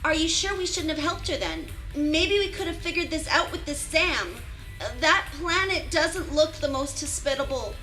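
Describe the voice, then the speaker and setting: A woman's voice: high-pitched, lively intonation, moderate pace, pushed and bright, faint music behind. A woman playing an earnest young newcomer to a spaceship crew in an audio drama, inquisitive and caring. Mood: concerned.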